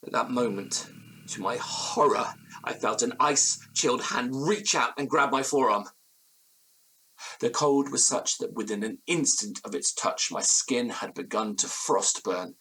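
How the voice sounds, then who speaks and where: An actor playing a fearful, haunted gentleman, telling his ghostly tale in formal, grave gothic prose. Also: medium pitch, measured pace, breathy edge, low-quality recording. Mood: shaken, dread. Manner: formal, literary, grave phrasing. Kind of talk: dramatic first-person gothic storytelling.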